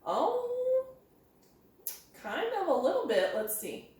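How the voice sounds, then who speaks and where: A woman's voice: very high-pitched, wildly swooping pitch, slow pace, darkish tone. A woman leading an interactive children's storytime, speaking in a sing-song, encouraging way and putting questions to young listeners. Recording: very echoey, roomy sound.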